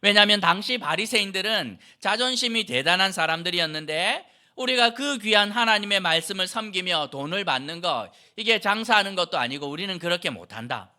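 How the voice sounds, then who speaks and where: A man's voice: high voice, expressive, a little fast, few pauses, bright, forceful tone. A middle-aged male pastor from Andong preaching a sermon in an explanatory, conversational way, posing rhetorical questions to the congregation. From Andong, South Korea.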